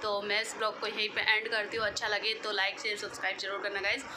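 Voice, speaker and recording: A woman's voice: medium pitch, little pitch movement, quick pace, background noise. A young woman from northern India chatting casually to viewers as a family vlogger, filming on a phone held at arm's length. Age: young adult. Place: family homes and village lanes at a gathering.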